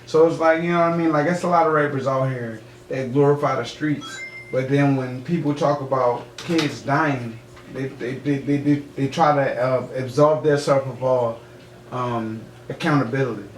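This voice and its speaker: A man's voice: mid-range voice, fairly even intonation, normal speed. A young man giving opinions in casual slangy chat, repeating phrases for emphasis and often checking he is understood. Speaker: podcast participant, rapper. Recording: echoey room, clear background noise.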